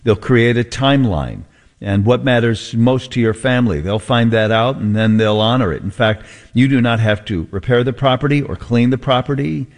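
An American man hosting radio talk in a conversational, unhurried storytelling way, drawing out phrases for suspense. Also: mid-range voice. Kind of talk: conversational radio talk, reading listener messages.

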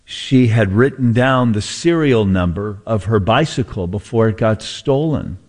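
A male American radio host with a fairly low voice talks conversationally to listeners. He tells things like an unhurried storyteller, stretching phrases to build suspense. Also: expressive, darkish tone. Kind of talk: conversational radio talk, reading listener messages.

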